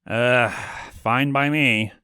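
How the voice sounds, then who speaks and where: A man's voice: medium pitch, steady tone, extremely slow, bright, forceful tone, smooth. A man voicing a grumpy, foul-mouthed male imp who snarls and sasses. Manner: snarly, sassy, cursing.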